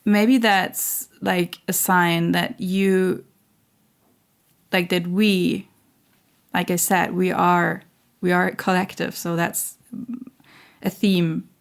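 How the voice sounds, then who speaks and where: A woman hosting her own spiritual channel, talking in a slow, searching way with many fillers and restarts while losing her voice. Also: on the low side, flat delivery, creaky. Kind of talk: reflective spiritual monologue.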